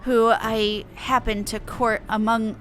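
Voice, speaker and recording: A woman voicing a gentle, thoughtful young dragon in a fantasy game, speaking in a measured, formal way. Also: on the high side, level tone, slight background noise. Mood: calm. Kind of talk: reading game dialogue aloud in character.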